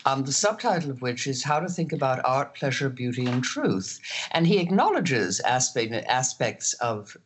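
Scholarly panelist, medium-low androgynous voice. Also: sing-song, moderate pace, slight background noise. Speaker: critic on a panel. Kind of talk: measured roundtable discussion.

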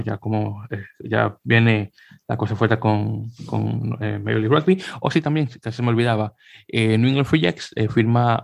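A man's voice: medium pitch, gravelly, a little mellow, no room sound, clean studio sound. A man hosting a sports podcast, talking casually through news and often stalling on fillers and restarts. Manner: hesitant, many fillers and restarts. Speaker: podcast host.

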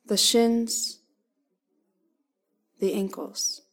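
Slow-paced woman guiding a meditation. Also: on the high side. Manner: calm, gentle instruction with short, spaced phrases. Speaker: meditation guide. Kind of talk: guided body-scan meditation.

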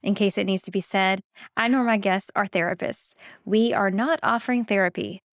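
A woman hosting a podcast, reading a prepared intro in a clear, measured way. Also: medium pitch, no echo, studio quality. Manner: clear, measured reading, laughs easily in chat. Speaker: podcast host. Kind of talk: scripted intro then casual interview chat.

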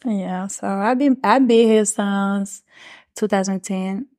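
A woman's voice: medium pitch, slow, dark tone. Laid-back woman. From Switzerland. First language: French. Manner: laid-back, short replies, frequent mm-hmm. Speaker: guest. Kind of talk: casual chat.

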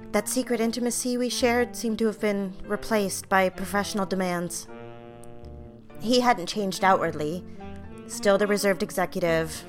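A woman plays a young secretary in an audio drama. Her voice sits in a middle register, sincere and a little wounded but quietly stubborn. Soft music plays behind her. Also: medium pitch, close to an everyday voice. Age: young adult. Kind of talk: audio drama acting and narration.

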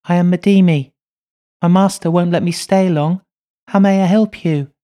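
A man's voice: high-pitched, steady tone, normal speed, dark tone, voice pushed up, natural voice. A man voicing a little girl spirit who speaks through a medium, sweet and teasing, in an audiobook. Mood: coy. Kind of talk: audiobook character voice.

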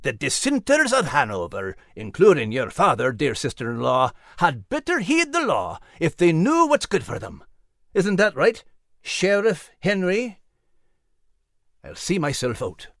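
امرأة تؤدي قسًا متغطرسًا ساخطًا بنبرة متقلبة. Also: female voice, low-pitched, wildly swooping pitch, a little slow, no echo, studio quality, heavily acted. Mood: huffy, threatening. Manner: pompous, huffy. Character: indignant, pompous colonial Anglican clergyman uncle.

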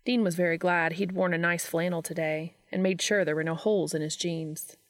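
A woman's voice: on the low side, fairly even intonation, brisk, no echo, clean studio sound. A woman reading a story aloud as a scripted audiobook narration. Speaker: fan fiction reader.

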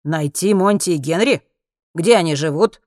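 A woman voicing an irritable, grudging man with a gruff, snappish manner in an audiobook. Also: lowish voice, moderate pace, darkish tone, dry recording, studio quality, natural voice. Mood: irritated, questioning. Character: irritable, foul-mouthed man, exasperated and grudging. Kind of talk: acted audiobook dialogue.

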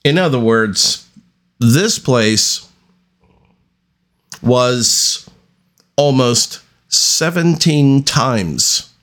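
A man delivers an unscripted solo podcast monologue at a very slow pace. His pitch sits in an ordinary middle range for a man. He speaks deliberately, breaking sentences into short pieces with long pauses. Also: expressive, a bit bright. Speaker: podcast host.

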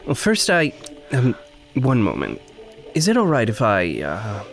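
An actor playing an earnest, anxious, conscientious man in an audio drama, speaking haltingly and trailing off. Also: medium pitch, wildly swooping pitch, music in the background. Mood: hesitant, polite. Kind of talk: naturalistic audio drama acting.

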